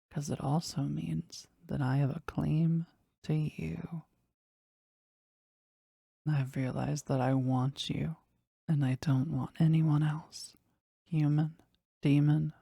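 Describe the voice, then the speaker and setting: Woman voicing a husky, slow-talking possessive demon. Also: dark tone, no room sound, clean studio sound, exaggerated voice. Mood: earnest, possessive. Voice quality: husky, breathy, low creaky voice. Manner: slow, deliberate, intense, dramatic. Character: powerful, possessive, jealous demon devoted to their master. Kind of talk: intimate audio roleplay monologue.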